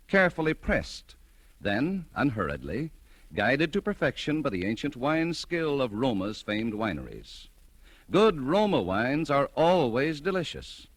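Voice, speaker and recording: A man working as a commercial announcer, reading a 1940s radio advertisement in a polished, deliberate, emphatic manner. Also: mid-range voice, dry recording.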